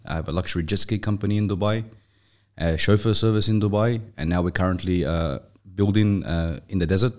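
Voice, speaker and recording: A man's voice: low voice, fairly quick, a little mellow. A man with an Australian accent talking casually and conversationally as a guest in a podcast interview. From Australia, raised in Sydney. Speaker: interview guest, business owner.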